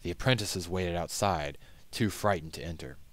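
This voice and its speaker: A man reading a story aloud as narrator, in a measured, solemn, dramatic audiobook style. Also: sits low, a bit bright, no echo.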